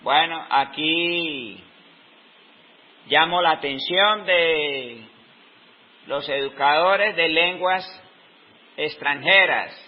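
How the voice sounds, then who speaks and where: A Colombian man in his fifties, giving an unscripted talk on teaching with slow, reflective pauses in the middle of his sentences. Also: a little high. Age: 50s. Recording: dull lo-fi recording.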